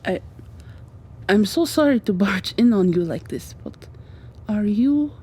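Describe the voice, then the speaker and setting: A woman voicing a devoted, guilt-ridden rescuer in an ASMR roleplay drama, hushed, halting and earnest. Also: mid-range voice, expressive, breathy edge. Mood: resolved.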